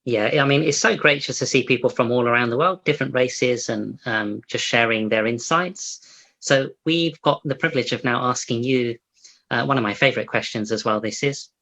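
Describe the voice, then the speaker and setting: A man's voice: medium pitch, normal speed, creaky, sharp tone. A man hosting a live interview over a video call, talking loosely and restarting his sentences as he goes. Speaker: host.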